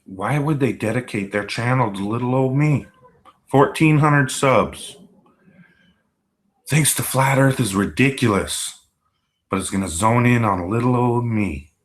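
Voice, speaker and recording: A man with a low voice talks slowly and scornfully, hurling insults at rivals in a ranting monologue. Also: a bit bright. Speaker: YouTuber.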